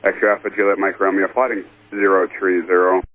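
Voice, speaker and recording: Fast flat-voiced male air traffic controller. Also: deep voice, no room sound. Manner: procedural, repeats callsigns. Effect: radio transmission. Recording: muffled, lightly noisy air traffic radio.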